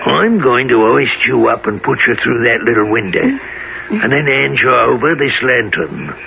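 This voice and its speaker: Gravelly, brutal burglar, radio play. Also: male voice, medium pitch, big pitch swings, unhurried, bright, forceful tone, full character voice. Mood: gruff, commanding. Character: brutal gruff adult male burglar, menacing and commanding. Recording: dull, noisy old radio recording.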